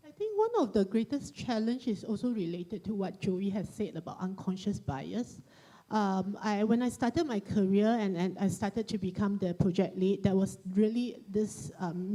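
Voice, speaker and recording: A woman speaking as a panelist, reflective and halting, often restarting her sentences. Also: medium pitch, warm tone, rough recording. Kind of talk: panel discussion.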